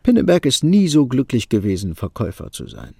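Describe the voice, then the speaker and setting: Gravelly, animated male narrator. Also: mid-range voice, fairly quick, no echo, clean studio sound. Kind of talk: scripted literary narration for a radio play.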